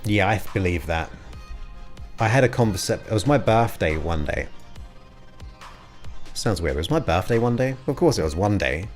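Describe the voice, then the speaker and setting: A man's voice: on the low side, animated, normal speed, pauses often, soft background music. A man in his forties hosting a livestream, chatting casually and rambling as he thanks viewers by name. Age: 40s. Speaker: livestream host. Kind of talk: casual chat with live viewers.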